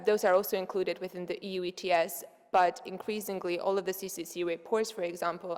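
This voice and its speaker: A woman's voice: fairly low pitch, gravelly, a little mellow. A woman panelist answering an audience question at a conference, fluent but with frequent "uh" fillers and tags like "right?". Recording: echoey lecture hall.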